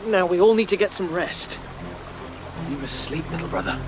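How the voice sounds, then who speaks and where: A man's voice: high voice, lively intonation, moderate pace, music in the background. An actor playing an earnest, caring young male rabbit who leads his group in a radio drama. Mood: concerned, steady.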